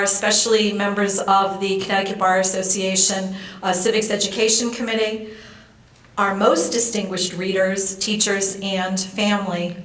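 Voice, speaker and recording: An American woman giving a formal ceremonial introduction as a speaker, measured and courteous in manner. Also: medium pitch, a bit bright. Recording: roomy echo, distant microphone.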